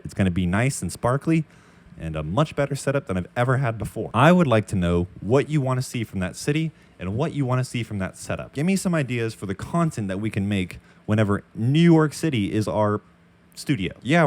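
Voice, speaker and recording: A man's voice: lowish voice, lively intonation, fairly quick. A young man in his twenties hosting a casual Q and A vlog, explaining microphone choices in a frank, chatty way, recorded close on a dynamic mic in a makeshift space. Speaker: audio YouTuber. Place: temporary makeshift room near a construction site.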